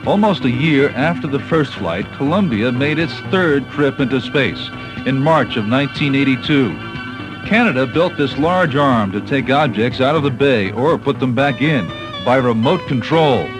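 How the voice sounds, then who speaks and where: A man's voice: mid-range voice, expressive, normal speed, low-quality recording. A man with an American accent reads scripted documentary narration, recorded on a 1980s cassette tape. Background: background music.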